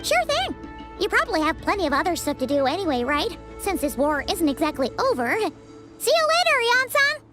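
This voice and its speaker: A woman voicing a small, chirpy, cheeky fairy companion with a childlike, chatty, expressive manner in a video game. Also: extremely high pitch, fairly quick, loud music behind the voice. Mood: bright, friendly. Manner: chatty, expressive, refers to self by name.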